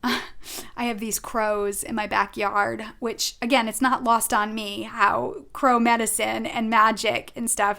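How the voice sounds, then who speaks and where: A woman's voice: a little high, fairly bright tone. A woman with a Rhode Island accent giving an earnest, effusive weekly oracle card reading as a spiritual advisor. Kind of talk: informal spiritual guidance monologue.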